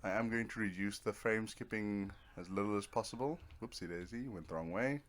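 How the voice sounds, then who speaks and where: A man's voice: on the low side, fairly quick. A man giving casual, rambling let's play commentary as he streams a video game, half talking to himself with playful little words. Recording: clear background noise from nearby TV. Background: Netflix playing in the background.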